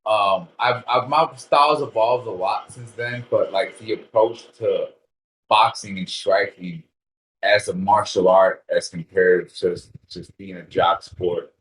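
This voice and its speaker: A man from Puerto Rico in his thirties, a fighter chatting casually in an interview, relaxed and rambling with restarts and casual swearing. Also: on the low side, a little slow, low-quality recording. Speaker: interview guest, bare-knuckle fighter.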